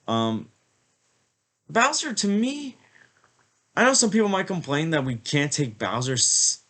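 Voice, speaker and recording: A man's voice: medium pitch, fairly bright tone. A man with a slight cold, sniffling a little, giving a rambling, casual movie review with lots of filler words. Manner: rambling, many "like" and "I feel like" fillers. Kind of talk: casual spoken film review.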